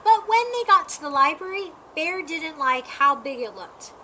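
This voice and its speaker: A woman reading a children's story aloud as an expressive narrator. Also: high-pitched, moderate pace, a little hiss or hum. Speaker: storybook reader.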